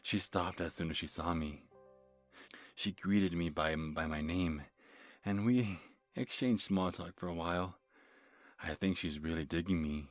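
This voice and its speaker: A man playing a nervous, creepy lovestruck stalker telling a first-person horror story in an ASMR manner, halting and stammering as he corrects himself. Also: low-pitched, no room sound. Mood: nervous, obsessive. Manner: halting, stammering, breathy sighs and self-corrections. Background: music.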